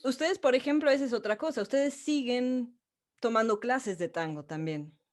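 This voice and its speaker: A woman's voice: on the high side, moderate pace. A woman hosting an interview, thinking aloud in a reflective way. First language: Spanish. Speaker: host. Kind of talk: interview conversation.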